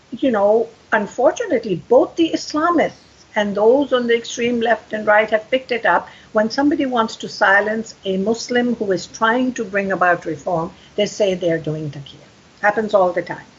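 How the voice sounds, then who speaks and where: An older woman whose first language is Urdu, speaking earnestly as a podcast guest, often pausing with fillers. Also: medium pitch, slightly rough, dull audio. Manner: earnest, many fillers and restarts. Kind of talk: podcast interview.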